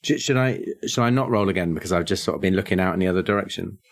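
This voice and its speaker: Man, player, very low voice, plain halting talk. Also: gravelly. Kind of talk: casual tabletop play.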